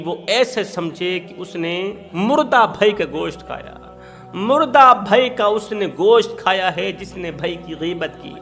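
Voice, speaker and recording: A man delivers an impassioned sermon, his voice pitched high as he drives each point home with repetition and rising cries. A soft music bed runs faintly beneath him. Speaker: preacher. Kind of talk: impassioned Urdu sermon with storytelling.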